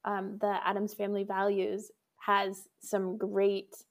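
A woman's voice: mid-range voice, completely flat delivery, takes it slowly. A woman from Colorado co-hosting a casual podcast in a laid-back way, often answering in short agreements. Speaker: podcast co-host.

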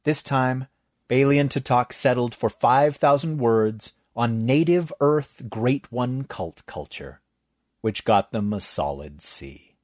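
A man narrating a short story in a measured, even reading. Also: mid-range voice, no echo, clean studio sound. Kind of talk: scripted narration.

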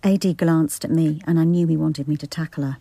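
Low, creaky, watchful female detective. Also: steady tone, mellow. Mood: dry. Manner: understated, watchful, measured. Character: observant, dry female detective narrating a case. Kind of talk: radio drama first-person narration.

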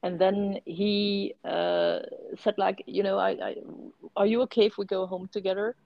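Gravelly woman host on a dull, dry mic. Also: medium pitch, lively intonation, moderate pace, no echo. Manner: hesitant, with many fillers. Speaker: podcast host. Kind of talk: podcast conversation.

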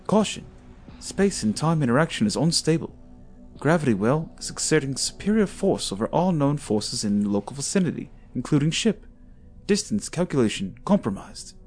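A man voicing a starship's onboard computer that recites clipped, flat warnings, in a sci-fi audiobook. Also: medium pitch, moderate pace, breathy edge, faint music behind, pitched above the performer's own voice.

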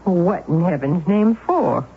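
An actress playing a kindly, fretful older aunt in a 1970s radio drama. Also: female voice, fairly low pitch, takes it slowly. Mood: gentle. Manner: warm, fretful, animated. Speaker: supporting character. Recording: tinny radio broadcast with faint hiss.

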